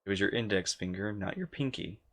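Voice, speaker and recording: A man's voice: fairly low pitch, a little fast, fairly bright tone. A man giving casual live reaction commentary as a streamer, in short laconic remarks.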